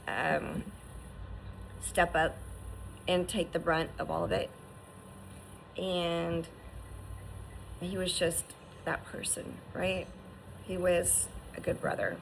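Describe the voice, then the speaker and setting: A woman's voice: on the low side, gravelly, fairly bright tone, slight background noise, low-quality recording. A woman in her fifties giving an earnest, encouraging personal testimony, often restarting and asking "right?". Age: 50s. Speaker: survivor sharing her story.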